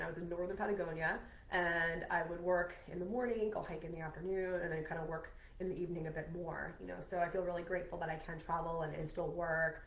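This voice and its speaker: Woman with a cold, kinda nasal, chatty coach doing a live video at home, lots of um and like, roomy echo. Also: fairly low pitch. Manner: chatty and upbeat, many "um"s and "like"s, speaks straight to "you guys". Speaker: health and weight-loss coach. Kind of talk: casual live video chat to an online group. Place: her own home.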